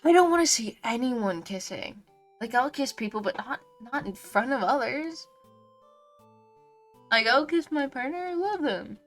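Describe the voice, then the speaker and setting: A woman's voice: sits high, fairly bright tone. A woman game streamer giving casual, sweary and effusive livestream commentary on a visual novel. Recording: music behind the voice.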